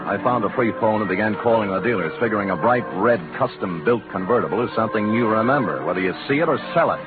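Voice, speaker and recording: An actor playing a wry, tough private detective in 1940s radio noir style, with hard-boiled, wisecracking delivery. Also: male voice, on the low side, fast talker, close to an everyday voice. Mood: wry narrating. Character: wry, tough male private detective narrating his case. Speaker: lead actor and narrator. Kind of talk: 1940s radio noir acting, first-person narration. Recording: tinny old radio broadcast with music.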